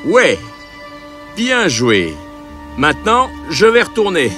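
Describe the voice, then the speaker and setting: Man playing a hapless, high-voiced young tailor. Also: wildly swooping pitch, takes it slowly, a bit bright, faint music behind, exaggerated voice. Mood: sardonic. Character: hapless young male apprentice tailor, polite but sarcastic. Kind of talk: comic acted storybook dialogue.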